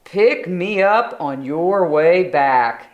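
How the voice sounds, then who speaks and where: A woman voicing a slow, reluctant storybook porcupine in a drawn-out, downbeat way. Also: lowish voice, animated, lo-fi sound. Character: slow, reluctant porcupine staying behind in the underbrush.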